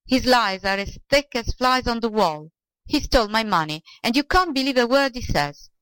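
Woman acting stingy old man, high swooping pitch, exclaiming, whining, storybook character, dry close sound. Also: animated, moderate pace, fairly bright tone, dry recording, natural voice, low-quality recording. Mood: indignant, vengeful. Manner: exclaiming, pleading.

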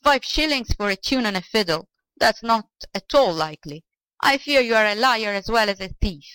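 Woman acting male judge, stern, sceptical, authoritative, wide pitch movement, storybook tale, dry sound. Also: expressive, moderate pace, a bit bright, dry recording, poor audio. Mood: incredulous. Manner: pronouncing, authoritative. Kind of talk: storybook character voice.

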